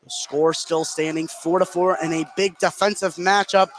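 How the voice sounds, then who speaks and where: A man's voice: high voice, fairly even intonation, normal speed, fairly bright tone. A man doing live radio play-by-play of a basketball game, following the action continuously and lifting his voice on big plays. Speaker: play-by-play sports commentator. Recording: noisy live gym broadcast.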